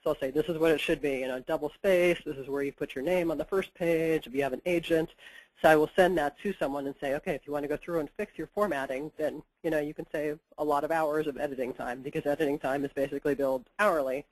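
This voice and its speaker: A woman's voice: deep voice, steady tone, quick pace, a little mellow, dry recording, rough recording. Stuffed-up woman editor explaining plainly. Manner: practical explanatory tone, frequent "you know". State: stuffed up with a cold. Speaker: book editor. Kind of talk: webinar interview answers.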